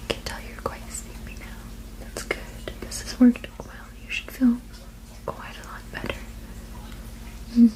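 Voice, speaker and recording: A woman whispering gently and reassuringly in an ASMR roleplay as a soothing witch healer, with a breathy, unvoiced voice. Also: a little slow, pauses often, poor audio. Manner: gentle, soothing, reassuring, repeating soft words. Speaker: ASMR performer.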